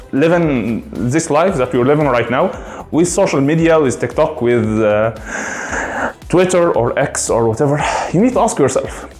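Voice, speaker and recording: A man's voice: a little high, a little mellow, reverberant. A man in his thirties from Egypt with an Egyptian Arabic accent, Arabic his first language, ranting casually to camera as a gaming streamer, rambling with many fillers and restarts. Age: 30s.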